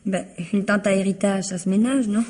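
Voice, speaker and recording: A woman acts out a young working-class housemaid in a vintage radio mystery. Her voice is husky and slightly rough, at an ordinary female pitch. She talks in a colloquial, naively frank way, cheeky and quick to defend herself. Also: fairly even intonation, darkish tone, natural voice. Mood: frank, gossipy. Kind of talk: old French radio mystery acting.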